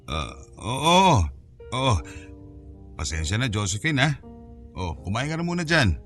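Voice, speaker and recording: A man's voice: medium pitch, sing-song, unhurried, a bit bright, soft background music. An actor playing an awkward, casually friendly Filipino man visiting a child's home, hesitant in an audio drama. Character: awkward adult male visitor, mother's lover, casually friendly. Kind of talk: acted audio drama dialogue.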